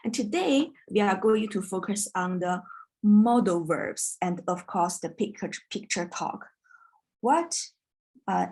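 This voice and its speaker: A woman from China who is not an English speaker, teaching a children's English class online in a slow, patient, clear way. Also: mid-range voice, a little mellow. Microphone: video call.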